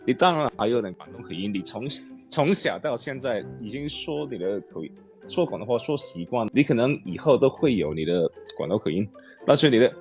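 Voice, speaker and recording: A man's voice: mid-range voice, animated, fairly quick, darkish tone, highs cut off, faint music behind. A young American man in a casual interview, laid-back, chuckling often and hedging his words. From United States. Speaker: language program student.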